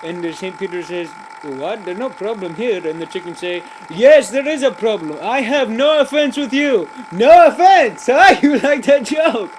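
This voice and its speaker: A cheerful, rambling joke-teller played by a man, stumbling through fillers and restarts in broken grammar. The voice sits high. Loud traffic noise runs behind the recording. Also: moderate pace, few pauses, close to an everyday voice. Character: rambling, cheerful adult man telling pointless jokes. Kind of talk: comic character monologue.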